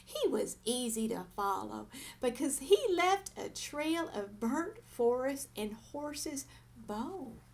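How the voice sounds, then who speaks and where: A woman reading a children's story aloud as an expressive narrator. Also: high voice, slow pace.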